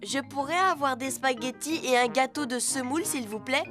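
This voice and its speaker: Casting: upbeat young pony lad, female performer, high-pitched, polite, children's cartoon with faint music. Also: normal speed, natural voice. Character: friendly little boy pony, polite and upbeat. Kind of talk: preschool cartoon dialogue.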